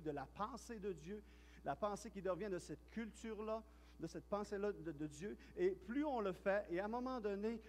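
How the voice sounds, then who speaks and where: A man with a Quebec French accent preaches in a church hall, his voice high, and long reverb trails each phrase. He talks in a relaxed, storytelling way, stumbling and repeating words as he goes. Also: slight background noise. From Quebec, Canada. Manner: chatty, storytelling, with frequent restarts and repeated words. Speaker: pastor. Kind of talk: sermon.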